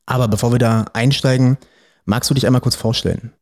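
A man hosting a legal podcast and interviewing a guest in a relaxed, friendly way. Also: medium pitch, a little fast. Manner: relaxed, friendly questioning with fillers. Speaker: podcast host, lawyer. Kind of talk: casual interview.

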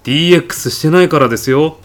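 A man's voice: on the high side, racing, close to an everyday voice. A man playing a pushy, eccentric, absurdly self-assured supermarket customer in a comedy radio drama, arguing like an insistent salesman. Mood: indignant, pressing. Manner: insistent, indignant salesman-like patter. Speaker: podcast actor.

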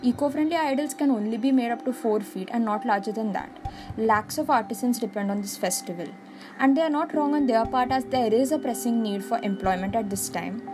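A young woman from India explains her view earnestly in a slightly high voice. Instrumental music plays clearly behind her. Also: fairly quick. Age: young adult. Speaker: interviewee. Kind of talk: recorded opinion.

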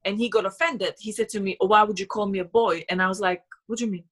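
A woman's voice: medium pitch, fairly even intonation, fast talker, fairly bright tone, husky. A young woman from Slovakia speaking English with a Slovak accent, chatting casually in an earnest, emphatic way and often repeating words. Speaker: guest, business owner. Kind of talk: casual personal conversation.

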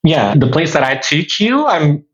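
A man's voice: on the high side, big pitch swings, unhurried, fairly bright tone, lo-fi sound. A man in his twenties from Turkey with a Turkish accent, chatting casually as an interview guest. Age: 20s. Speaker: podcast guest, photographer and tour guide.

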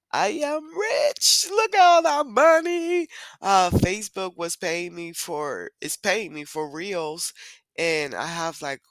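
Deep-voiced woman chatting slowly, bright tone. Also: no room sound. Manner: chatty, rambling, with bursts of excited squeals. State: deep, rough morning voice. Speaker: podcast host. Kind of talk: casual solo podcast chat.

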